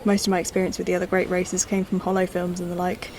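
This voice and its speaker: A woman playing a courteous, curious old-model android in a sci-fi audio drama, speaking in a measured, polite way. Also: on the low side, completely flat delivery, a little mellow, slight background noise, natural voice. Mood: reflective, fond.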